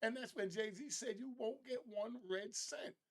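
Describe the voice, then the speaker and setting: A man's voice: very high-pitched, measured pace. A man giving informal, emphatic reaction commentary as a show host, often repeating phrases for effect.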